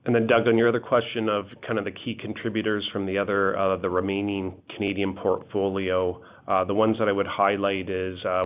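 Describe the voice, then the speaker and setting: A male company executive explaining financial results in answer to questions on an investor call. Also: deep voice. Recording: narrow tinny phone line.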